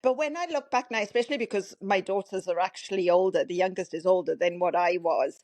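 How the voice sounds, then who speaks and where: A woman's voice: on the low side, quick pace, dry recording. South African woman with Welsh twang. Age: 50s. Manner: chatty, animated storyteller. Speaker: podcast guest. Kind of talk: casual interview chat.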